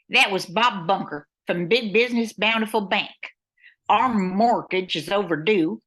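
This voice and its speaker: A woman's voice: mid-range voice, fairly bright tone. A woman playing a capable but worried airline owner and pilot, reading earnestly in a radio play. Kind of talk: old-time radio play acting.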